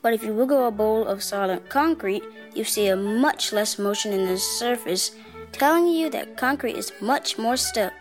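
A woman's voice: a little high, expressive, moderate pace, faint music behind. A woman co-host giving scripted science narration for kids with upbeat quips.

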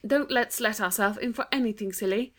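A woman's voice: medium pitch, brisk, fairly bright tone, natural voice. A woman voicing a sensible, cautious girl who speaks earnestly. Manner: earnest, warning.

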